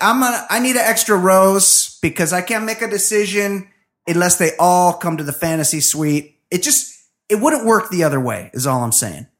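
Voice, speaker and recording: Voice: mid-range voice, fairly bright tone. A podcast co-host chatting casually, joking in a crude, blunt way.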